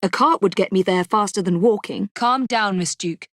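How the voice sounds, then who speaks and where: A woman's voice: medium pitch, normal speed, a bit bright, no room sound, studio quality, lightly acted. An actress playing a composed young Victorian woman assassin with an English accent in a video game, wry, proper and determined. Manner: crisp, proper, understated.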